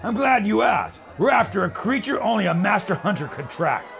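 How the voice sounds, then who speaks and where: A high, creaky, jolly adventurer. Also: male voice, sing-song, brisk, a bit bright, faint music behind, heavily acted. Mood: coaxing. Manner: folksy, hearty, salesman-like. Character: brash, jolly, confident male adventurer and leader. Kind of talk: pulp radio adventure acting.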